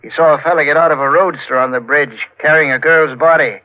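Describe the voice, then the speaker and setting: Actor as a folksy trooper on tinny old radio. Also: male voice, a little high, fairly even intonation, lightly acted. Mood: folksy, reassuring. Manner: folksy, drawn-out questioning. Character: folksy, unhurried state trooper. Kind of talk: 1940s radio acting. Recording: tinny, narrow-band old radio broadcast.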